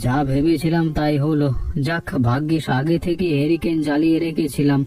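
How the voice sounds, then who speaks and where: A man's voice: fairly high pitch, fairly even intonation, fairly quick, dark tone, natural voice. A man voicing an easygoing village older brother in a cartoon story. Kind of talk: Bengali cartoon story dialogue.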